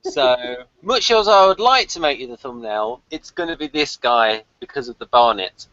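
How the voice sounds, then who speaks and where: A man's voice: medium pitch, lively intonation, moderate pace, pushed and bright, no echo, lo-fi sound. A man hosting a casual, playful chat review of a TV episode. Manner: playful, bantering. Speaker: podcast host.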